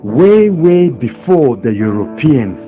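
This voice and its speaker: A man in a mid range narrates an explanation in a slow, deliberate manner. His voice comes through narrow, phone-like audio. A guitar piece plays clearly underneath. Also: mid-range voice, sing-song. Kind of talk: explanatory commentary. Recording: phone-like audio with music behind.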